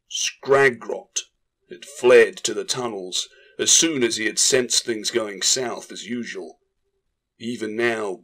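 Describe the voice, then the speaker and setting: A man narrating a fantasy audiobook in a dramatic, scripted storytelling style. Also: lowish voice.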